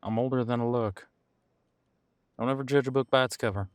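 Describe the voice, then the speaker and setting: A man playing an easygoing veteran police officer in an audio roleplay, chatting casually and candidly with thoughtful pauses. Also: medium pitch, steady tone, clear, resonant tone, no echo, studio quality, close to an everyday voice. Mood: reflective, frank. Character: easygoing veteran male supernatural police officer showing newcomer around. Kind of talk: audio roleplay acting, one-sided conversation.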